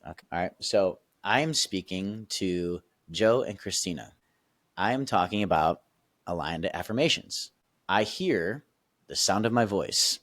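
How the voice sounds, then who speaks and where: A man's voice: deep voice, animated, a little slow, fairly bright tone, no room sound. A man on a podcast as a guest coach, explaining his method casually and emphatically, checking in with the listeners as he goes and laughing easily.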